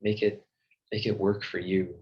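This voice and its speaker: Male meditation guide: low, very unhurried, gentle delivery with soothing pauses. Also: little pitch movement, lo-fi sound. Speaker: meditation teacher.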